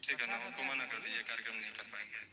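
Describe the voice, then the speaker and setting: A man speaks quickly at a middling pitch on a recorded phone call. His talk is casual, firm and repetitive, played back with crowd noise around it. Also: fairly even intonation.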